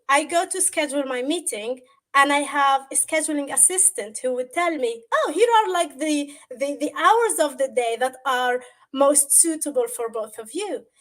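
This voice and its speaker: High pitched woman, arabic native speaker, casual tech explainer on a video call, says uh a lot. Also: a bit bright. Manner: conversational, with examples and frequent fillers. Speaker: guest presenter. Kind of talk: explaining a tech topic on a livestream.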